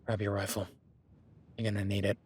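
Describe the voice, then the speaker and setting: A man playing a calm cowboy rancher. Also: a little fast, a little hiss or hum, lightly acted. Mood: firm. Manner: low-key, calm and instructive, often hushed. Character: rugged male cowboy rancher, steady and protective. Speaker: ranch hand tracking a beast. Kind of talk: listener-addressed audio roleplay.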